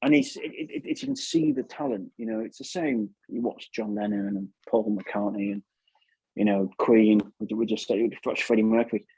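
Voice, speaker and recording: A man's voice: lowish voice, big pitch swings, normal speed, vocal fry, mellow, husky, no room sound, rough recording. A man giving casual advice as an interview guest, chatty and repeating himself for emphasis. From England.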